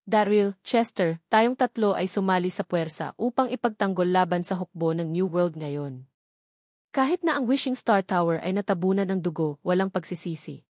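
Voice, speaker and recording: A woman's voice: fairly low pitch, lively intonation, normal speed, darkish tone, no room sound, clean studio sound. Woman reading as a resolute battle-worn male sect warrior. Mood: determined. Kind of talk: audiobook character dialogue.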